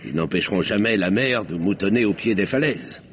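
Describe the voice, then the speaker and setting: An actor playing a grave, principled elderly retired banker in an old French radio mystery, speaking in a measured, sententious way. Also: male voice, low-pitched, expressive. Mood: wistful, lofty. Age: older. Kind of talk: mid-century French radio mystery acting. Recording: noisy, muffled old radio drama recording.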